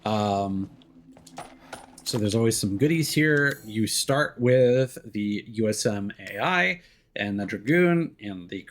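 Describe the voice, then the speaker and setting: A man giving casual live game commentary, rambling and thinking aloud with hesitant pauses. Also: on the low side. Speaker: game streamer. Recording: game music behind the voice. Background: game music.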